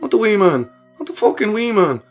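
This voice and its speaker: A man's voice: high-pitched, wildly swooping pitch, brisk, voice pushed up, full character voice. A man voicing a grieving young man who cries out in anguish. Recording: dull lo-fi sound with faint music.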